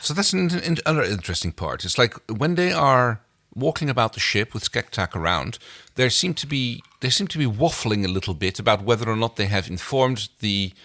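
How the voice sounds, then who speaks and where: A European man co-hosting a podcast, casually recapping a TV episode in an agreeable, halting, thoughtful way. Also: mid-range voice, lively intonation, bright, forceful tone. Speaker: podcast co-host.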